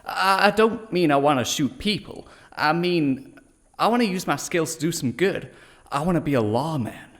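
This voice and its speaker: A man's voice: fairly high pitch, expressive, normal speed, fairly bright tone. An actor playing an eager, polite young frontier man in an audio drama, hesitant yet determined. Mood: earnest, pleading. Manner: polite, hesitant but insistent. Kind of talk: western audio drama acting.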